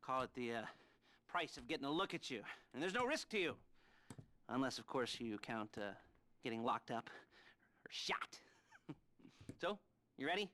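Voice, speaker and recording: An actor playing an awkward, neurotic middle-aged criminal heist planner in a video game, with an American accent and a halting, nervous, wry delivery. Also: male voice, mid-range voice, sing-song, sharp tone, no echo. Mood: nervous, persuasive. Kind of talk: video game cutscene acting.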